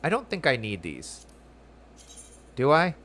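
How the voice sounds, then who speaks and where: A man's voice: mid-range voice, wildly swooping pitch, slow, a bit bright. A man chatting casually and enthusiastically as he streams a game, with slangy, informal talk. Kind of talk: casual chat while playing a game. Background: video game music.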